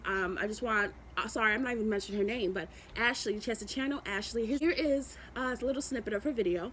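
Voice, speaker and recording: A woman's voice: a little high, quick pace, a bit bright, slight background noise. A woman vlogging, chatting in a rambling, affectionate way, often repeating herself and restarting. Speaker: YouTube vlogger. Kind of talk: motivational vlog chat.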